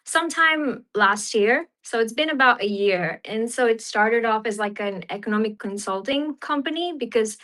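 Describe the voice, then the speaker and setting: A woman's voice: a little high, lively intonation, moderate pace, few pauses, fairly bright tone. A young woman from Fiji, a podcast guest and economist, talking casually with many fillers. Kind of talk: casual interview.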